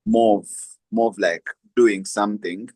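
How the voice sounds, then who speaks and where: A man's voice: lowish voice, steady tone, unhurried, darkish tone, dry recording. A young Ugandan man speaking as an interview guest, explaining his work with frequent um fillers and hesitations. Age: young adult. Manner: hesitant, many um fillers, laughs lightly. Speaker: guest, lawyer and freelancer. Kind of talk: conversational interview.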